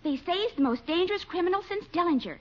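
An actress playing a chatty, naive, easily distracted young secretary with an American accent, in 1940s radio comedy style. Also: female voice, extremely high pitch, animated, quick pace. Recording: muffled 1940s radio recording.

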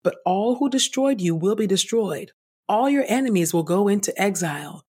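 A woman reading scripture aloud as a podcast host, in a measured, declarative way. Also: medium pitch, animated, a little mellow, breathy edge.

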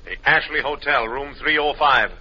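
An actor as a gruff, distrustful police inspector in a 1940s radio drama. The man's voice is medium-pitched and rough-edged, on a dulled old recording. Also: normal speed, highs cut off, lightly acted. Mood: curt, commanding. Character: gruff, suspicious, accusing male police inspector.

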